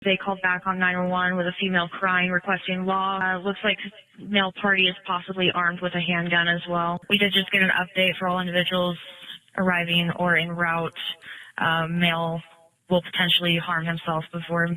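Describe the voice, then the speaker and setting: A man with a sharp, very bright and high voice works as an emergency dispatcher. He relays procedural updates over police radio with little variation in pitch. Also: monotone, fairly quick, poor audio.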